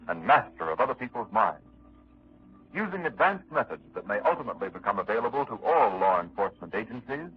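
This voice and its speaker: A man announcing and narrating from a script in 1930s radio style, with emphatic, dramatic phrasing. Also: mid-range voice. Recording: dull 1930s radio recording.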